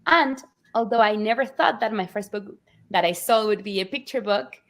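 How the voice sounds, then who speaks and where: A woman in her forties from Argentina, speaks as a children's book author in an interview. She tells her story in an animated way, often restarting her sentences. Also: medium pitch, normal speed. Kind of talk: conversational video interview.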